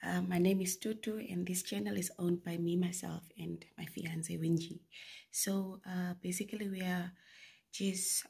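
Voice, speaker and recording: Low, gravelly woman with a flu. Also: measured pace. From Namibia. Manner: soft-spoken, often near a whisper, relaxed. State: deep, rough voice from a flu. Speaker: vlogger. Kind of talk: casual vlog chat. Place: bedroom indoors. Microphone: phone camera close up.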